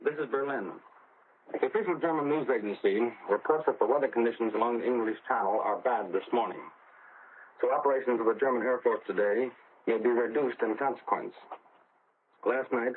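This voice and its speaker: A man giving a scripted 1940s radio news report as a correspondent, measured and careful to make figures clear. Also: mid-range voice. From United States. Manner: measured, repeats figures for clarity. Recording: crackly, phone-like 1940 shortwave radio relay.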